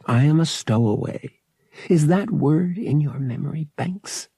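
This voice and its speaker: Man acting a brash young pilot, husky. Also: a little high, expressive, unhurried, dark tone, no room sound, clean studio sound, voice pushed up, full character voice. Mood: coaxing. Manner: blunt, slangy. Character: brash, impatient young male smuggler pilot.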